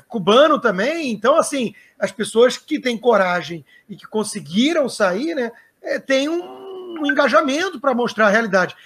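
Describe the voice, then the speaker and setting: A man's voice: very high-pitched, slightly rough. A man hosting an interview, talking in long conversational runs with frequent restarts and hesitations. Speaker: interviewer, show host.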